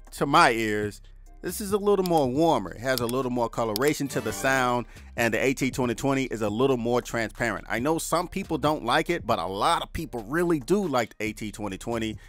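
A middle-aged man with a deep baritone voice, chatting casually to viewers while reviewing studio gear from his home studio. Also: a bit bright. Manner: laid-back, chatty, addresses viewers directly. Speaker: music producer reviewing gear. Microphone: large studio condenser mic on boom, headphones on.

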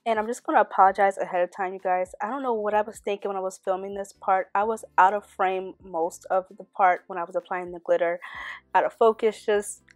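A woman's voice: medium pitch, steady tone, fairly quick, dry recording, clean studio sound, faint music behind. A young woman recording a chatty nail tutorial voice-over, talking straight to her viewers and sounding a bit nasal from a cold. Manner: chatty, enthusiastic, addresses viewers as you guys. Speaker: beauty vlogger.

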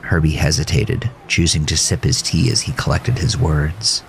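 A man's voice: rumbling low, fairly even intonation, normal speed, vocal fry, a little hiss or hum. A man narrating a scripted horror story as its storyteller.

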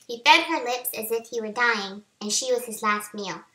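A woman reads romance fiction aloud with a helium-affected voice. It is highish and sharply bright, with the roomy echo of a home recording. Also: normal speed. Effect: voice raised by helium. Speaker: author reading own novel. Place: small home office, indoors. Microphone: webcam at arm's length.

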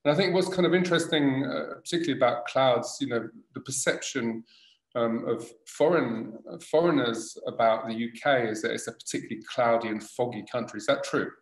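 A middle-aged man hosts a video-call interview from home. His voice has a medium pitch. He halts often and circles back over his words, and his laptop mic picks up a roomy, echoey living room. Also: brisk. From born in Tunisia, grew up in England. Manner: hesitant, with many restarts and fillers. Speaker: host, designer. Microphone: laptop webcam on a video call.

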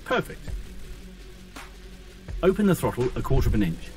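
A man's voice: medium pitch, sing-song, moderate pace, a little mellow, lightly acted. A man voicing a helpful, encouraging virtual aircraft co-pilot in a flight game, giving scripted checklist instructions. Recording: distant voice with music behind.

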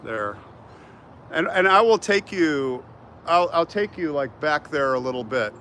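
An older American man hosts a casual live stream, chatting as he walks and answering viewers. His voice sits a little high and has a bright, forceful edge. He is recorded outdoors on a handheld phone, with faint urban noise around him. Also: expressive, a little slow. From United States. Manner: chatty, jumping between remarks while walking. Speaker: vlog host. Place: outdoors in a large open cemetery. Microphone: handheld phone at arm's length. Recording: noisy outdoor urban ambience.

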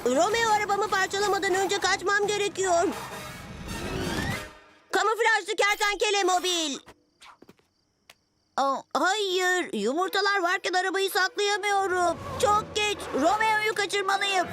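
A woman plays a little cartoon superhero boy with a very high voice. He is earnest, easily flustered and full of exclamations, with music behind him. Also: a little slow. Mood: alarmed. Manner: exclamatory, defensive. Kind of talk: cartoon dub acting.

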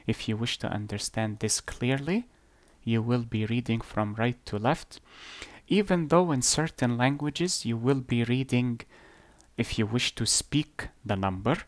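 A man whose first language is Arabic, explaining number systems slowly and deliberately over an on-screen drawing, with blunt asides. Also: mid-range voice, no echo. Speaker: math teacher. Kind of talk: screen-recorded whiteboard lesson.

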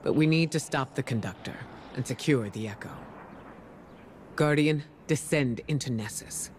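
A woman playing a composed, reflective commander and spymaster in a video game, speaking in a measured, solemn, deliberate way. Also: a bass voice, darkish tone, slight background noise. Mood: resolute. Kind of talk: scripted video game dialogue. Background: game music.

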